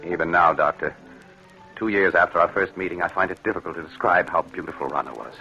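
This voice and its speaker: An actor playing a high-strung, impulsive young fiancé with an intense, theatrical delivery, in 1940s radio drama style. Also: male voice, quick pace, sharp tone, dull audio, soft background music. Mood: haunted.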